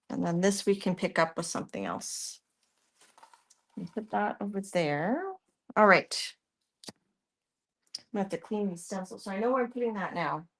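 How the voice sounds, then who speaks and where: A Canadian woman hosts a live art session in a middle-pitched voice. She chats as she works, thinking aloud and answering viewers as their questions come in. Her sentences often wander off unfinished. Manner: chatty, thinks aloud while working, trails off mid-sentence. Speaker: art tutorial host.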